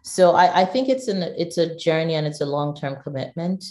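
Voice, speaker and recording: A woman's voice: low-pitched, normal speed, dark tone, roomy sound. A middle-aged woman from Nigeria, a guest in an interview, talking thoughtfully with many restarts and fillers. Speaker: guest, digital executive. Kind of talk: conversational interview.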